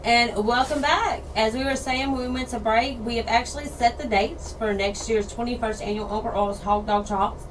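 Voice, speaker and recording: A woman co-hosting a radio outdoors show in casual chat, slightly hoarse from losing her voice. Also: fairly high pitch, fairly even intonation, normal speed, hardly any pauses. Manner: chatty, laughs easily, repeats details. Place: radio studio. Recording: distant mic with background noise.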